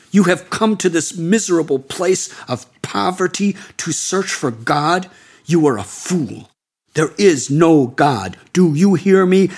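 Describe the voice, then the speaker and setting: A man acting a husky, ranting bitter holy man. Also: on the high side, heavily acted. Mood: scornful. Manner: ranting, scornful, emphatic. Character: bitter, angry male Indian sadhu of middle age or older.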